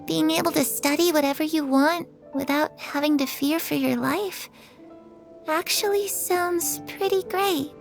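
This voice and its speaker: A woman voicing the gentle, wistful and curious shadow of a young girl in a video game. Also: high-pitched, unhurried, creaky, soft background music. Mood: wistful, playful.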